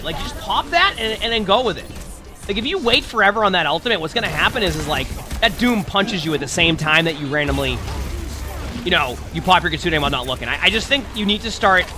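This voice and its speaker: A man streaming and coaching a player through gameplay, talking directly to them about their mistakes, a little hoarse from being under the weather. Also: high-pitched.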